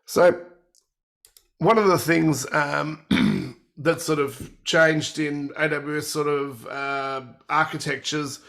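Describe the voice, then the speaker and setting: Man, croaky from a cold, gravelly rasp, slightly high voice, technical webinar presenter, explanatory. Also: steady tone, slow, fairly bright tone. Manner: explanatory, walking through product features. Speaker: workshop presenter.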